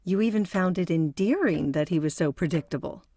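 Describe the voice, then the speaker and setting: A woman playing a measured, analytical psychotherapist in an audio drama. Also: lowish voice, a little hiss or hum, natural voice. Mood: calm, probing. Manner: calm, probing, analytical. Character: measured, probing female psychotherapist.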